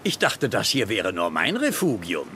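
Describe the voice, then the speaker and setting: An actor playing a spiteful bully at a hospital in a dubbed TV comedy, taunting and mock-menacing. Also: male voice, fairly low pitch, wildly swooping pitch, moderate pace, background noise. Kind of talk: German TV comedy dub acting.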